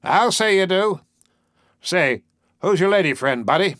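Guy doing a drunk rowdy mob soldier in an audiobook, loud n hostile, slangy, raised pitch. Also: lively intonation, unhurried, no echo, studio quality. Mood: jeering.

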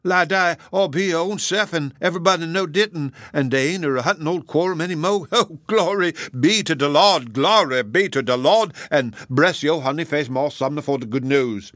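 A man voicing an excitable old ship's cook with a heavy dialect, exclaiming and wailing in a storybook reading. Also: on the high side, moderate pace, few pauses, no echo, studio quality, pitched above the performer's own voice, natural voice. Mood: overjoyed.

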